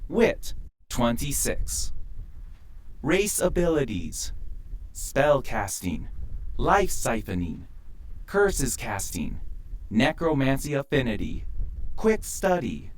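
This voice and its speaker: A man voicing an impersonal game system in an audio drama, announcing in a formal, deliberate way. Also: mid-range voice, sing-song, rough recording. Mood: formal, listing.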